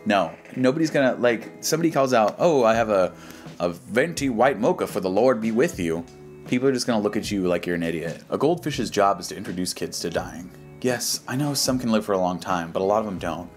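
Deep-voiced American man, slangy commentary. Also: animated, fairly quick. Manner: slangy, mocking and dismissive. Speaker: YouTube commentary host. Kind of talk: casual reaction commentary, reading posts aloud. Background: background music.

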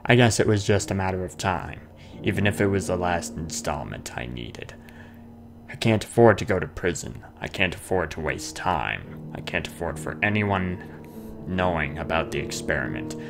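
A man's voice: low voice, animated, normal speed, vocal fry. A man giving casual, playful commentary while playing a horror video game, chatting and muttering to himself. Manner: playful, chatty, sings nonsense sounds to himself; mutters and reads on-screen notes aloud. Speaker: gamer, let's player. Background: game music.

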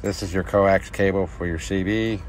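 A man's voice: sits low, steady tone, moderate pace. A middle-aged American man giving a casual, plain-spoken how-to vlog from inside a truck cab. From United States. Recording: noisy truck cab, loud vehicle ambience.

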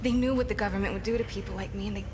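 An actress voicing a haunted, vengeful young runaway in an intimate, breathy, halting game monologue. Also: female voice, mid-range voice, fairly even intonation, background noise, close to an everyday voice. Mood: bitter, sorrowful. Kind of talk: dramatic scripted monologue narration in a video game. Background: loud music.

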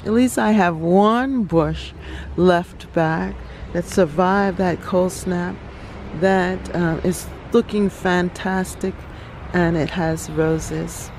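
A woman hosting a relaxed garden tour outdoors, talking reflectively with many pauses and ums. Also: on the low side, slight background noise. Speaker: garden channel host. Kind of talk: casual garden tour vlog.

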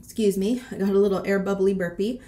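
A woman's voice: mid-range voice, fast. A woman in her thirties chatting casually in a sassy vlog style, congested and a bit short of breath from a cold. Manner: sassy, animated, peppered with slang and swearing. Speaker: boutique owner and vlogger. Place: small decorated room indoors.

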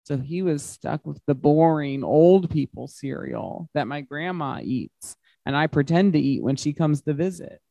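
A man reads a children's story aloud at an unhurried pace. His phrasing is simple and emphatic, made for young listeners, and the sound is dry with little room echo. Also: a little high, expressive, vocal fry, dark tone. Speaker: guest reader at children's story time. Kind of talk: expressive picture-book read-aloud to children. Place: large glass-walled library room.

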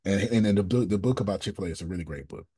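A man hosting a casual coaching call over video, animated and emphatic, with slangy, repetitive phrasing. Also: low-pitched, racing, husky. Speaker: business coach and host.

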